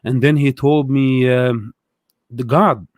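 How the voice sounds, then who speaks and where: A man's voice: medium pitch, steady tone, slow pace, no room sound, clean studio sound. A young adult man from Egypt, a guest telling his story in casual conversation, thoughtful and hesitant with many fillers.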